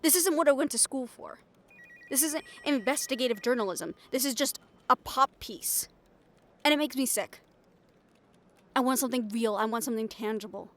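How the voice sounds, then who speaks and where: A woman's voice: on the high side, moderate pace, a bit bright, close to an everyday voice. A woman playing a brash, cynical, foul-mouthed reporter in an improvised audio roleplay, with a blunt, sardonic manner. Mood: fed up, ranting.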